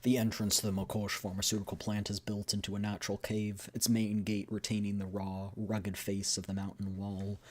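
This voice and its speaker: Male streamer, low register, expressive narrator of a game's story, measured delivery. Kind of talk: dramatic scripted narration, reading a game story aloud.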